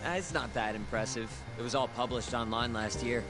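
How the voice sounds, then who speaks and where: A man's voice: medium pitch, fairly quick, natural voice. Male actor as cocky young informant, crime game, easygoing and conversational, music behind. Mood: playful. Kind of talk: video game voice acting, conversational.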